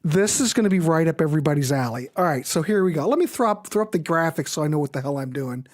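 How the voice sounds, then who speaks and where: A man's voice: a little high, expressive, fast talker. A man hosting a live music show in a casual, enthusiastic, rambling and informal way. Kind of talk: casual live stream commentary.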